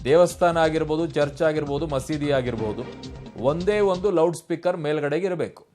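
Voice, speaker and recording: Emphatic male news anchor, higher voice. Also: fairly quick, a little mellow, faint music behind. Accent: Kannada, Karnataka.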